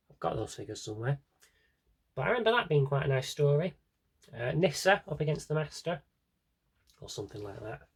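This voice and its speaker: A man talks in a slightly rough, husky voice at a middling pitch. He presents like a hobbyist vlogger, casually and off the cuff. His delivery is wry and meandering, often interrupting itself as he thinks aloud. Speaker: collector showing his audio drama CDs. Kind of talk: casual rambling vlog chat.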